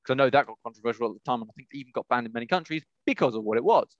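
A man's voice: medium pitch, lively intonation, very fast, no room sound, muffled recording. A man chatting casually as a podcast co-host, rambling and jumping between thoughts. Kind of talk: casual chat about video game movies.

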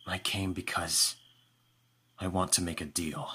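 A man playing a composed vampire lord in an audio roleplay, speaking formally and with calm authority to a servant. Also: low-pitched, unhurried, breathy edge, full character voice. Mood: grave, detached. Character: lordly young-sounding male vampire master, calm and commanding. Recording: music behind the voice. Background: music.

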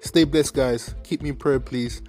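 An earnest young man talks straight to his listeners about faith, repeating himself for emphasis. He leans on casual fillers as he encourages and preaches. Faint music plays quietly under his voice. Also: mid-range voice, steady tone, unhurried. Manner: heavy fillers like "like" and "you know what I mean". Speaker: podcast host. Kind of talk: casual faith talk to viewers.

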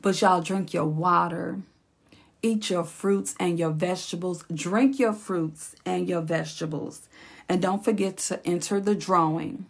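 A woman hosting her own podcast, speaking in a slow, encouraging, reflective way, with a raspy voice and features. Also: fairly low pitch, fairly even intonation. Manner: slow, encouraging, repeats phrases for emphasis. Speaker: podcast host. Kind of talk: reflective solo podcast talk.

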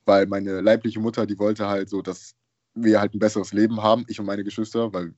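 Young male guest, lowish voice, loose slangy delivery; dry, dull recording. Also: flat delivery, brisk, darkish tone, dry recording. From Germany. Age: young adult. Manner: slangy, laid-back, laughs often. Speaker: interview guest, basketball player. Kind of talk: casual chat.

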